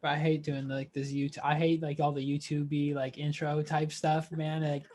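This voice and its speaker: A man's voice: on the high side, monotone, moderate pace, darkish tone, a little hiss or hum. A man hosting an interview over a video call, easygoing and full of fillers. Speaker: interview host. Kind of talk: casual interview chat.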